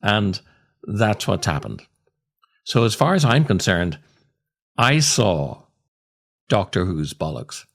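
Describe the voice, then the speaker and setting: An older man hosting a podcast, reading scripted comic stories and verse in a theatrical, deliberate way with dramatic pauses. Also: lowish voice, big pitch swings, vocal fry. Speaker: podcast host, writer and actor.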